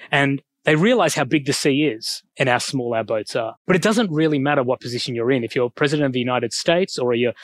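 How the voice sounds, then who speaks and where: A man's voice: medium pitch, no echo. A middle-aged Australian man giving a public lecture on a headset mic, with easy, self-deprecating humor. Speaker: guest speaker. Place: school hall.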